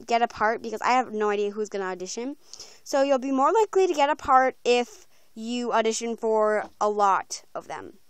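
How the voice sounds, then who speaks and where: A woman's voice: high voice, no echo. A young woman talking casually and informally in a rambling, slangy vlog, like a creator announcing something to viewers. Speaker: video creator announcing voice auditions. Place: small room at home, bedroom. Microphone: handheld phone, close by.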